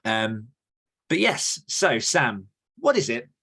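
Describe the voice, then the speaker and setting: A man's voice: medium pitch, sing-song, slow pace, creaky, sharp tone, breathy edge. A man co-hosting a podcast in casual chat, talking in a chatty, rambling, self-deprecating way. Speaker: podcast co-host and author.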